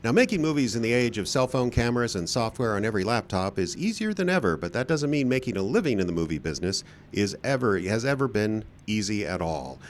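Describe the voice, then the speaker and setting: A man's voice: fairly low pitch, very animated, fast talker, few pauses. A man hosting a live radio theater show, delivering theatrical scripted narration like a showman. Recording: live show with faint background noise.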